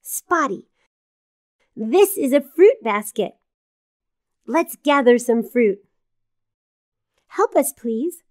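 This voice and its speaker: Cheerful little cartoon girl, high lilting voice, slow simple phrasing, kindly, speaking to small children. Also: lively intonation, dry recording, studio quality. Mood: friendly, instructive. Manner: slow, clear, simple address to young children.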